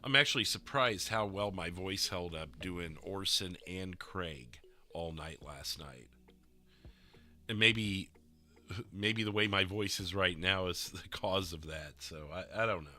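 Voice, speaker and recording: A man chatting casually with his stream viewers, his voice a little rough and stuffy, rambling and laughing often. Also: low-pitched, unhurried, pushed and bright, soft background music. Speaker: livestreamer playing a roleplay dispatcher.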